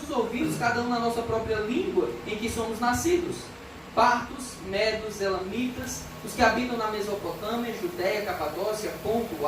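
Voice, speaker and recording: Woman preacher, Brazilian Portuguese accent, earnest and emphatic, high-pitched, heavy echo from far off. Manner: earnest, emphatic, reads scripture aloud. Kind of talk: expository sermon. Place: church hall. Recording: echoey church hall from far off, background noise.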